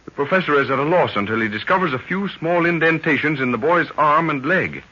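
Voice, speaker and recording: Ominous, swooping radio narrator. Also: male voice, a little high, lively intonation, a little fast, bright, forceful tone. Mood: suspenseful. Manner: theatrical, portentous, punchy short phrases. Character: dramatic, ominous male storyteller of a jungle serial. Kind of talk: 1930s radio scripted narration. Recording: muffled 1930s radio recording.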